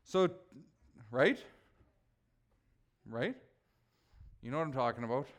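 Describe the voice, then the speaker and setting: A man preaching a relaxed, conversational sermon, joking with his congregation and teasing people by name. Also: medium pitch, slow. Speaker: pastor.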